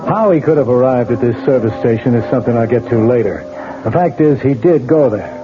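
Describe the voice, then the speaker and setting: An actor playing a calm skeptical investigator. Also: male voice, mid-range voice, close to an everyday voice. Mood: explaining. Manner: calm, probing, measured. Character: patient skeptical male investigator. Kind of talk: 1970s radio drama acting. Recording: tinny old radio broadcast with music.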